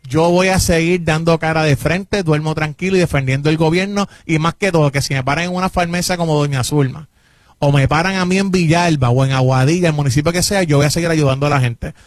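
A man's voice: a little high, fairly quick, no echo. A man from Puerto Rico with a Puerto Rican accent, a government official answering interview questions emphatically and insistently. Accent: Puerto Rican Spanish. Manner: emphatic, insistent, repeats points. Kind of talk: interview answers, political defense.

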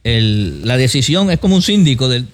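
A male co-host with a Puerto Rican accent and a voice of average pitch. He comments conversationally, with tentative, measured phrasing, as part of a casual studio talk show. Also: sing-song.

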